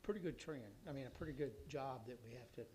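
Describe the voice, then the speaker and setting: Hoarse man giving a rambling report. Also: medium pitch, background noise. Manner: rambling, halting, many restarts and fillers. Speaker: city official giving a utility report. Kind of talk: council meeting report.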